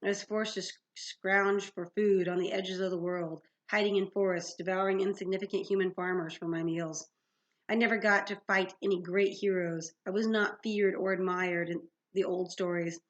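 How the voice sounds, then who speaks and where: A woman's voice: medium pitch, steady tone, normal speed, lightly acted. A woman voicing a gloating male manticore villain from a fantasy storybook, sneering and formal, with a French accent. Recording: dull lo-fi sound.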